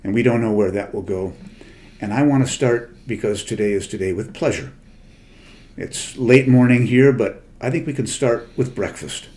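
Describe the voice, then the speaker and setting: An older man with a somewhat low voice, speaking as a literary translator in a relaxed lecture. He talks in a thoughtful, wandering way, often pausing and correcting himself as he goes. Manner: thoughtful, digressive, many hesitations and self-corrections. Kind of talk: conversational lecture.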